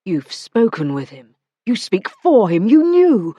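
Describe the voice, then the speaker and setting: A woman's voice: medium pitch, big pitch swings, slightly rough, no room sound. A woman voicing a man in a cold frenzy, who speaks in an intense, hissing way. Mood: cold fury. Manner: intense, hissing, slow and forceful.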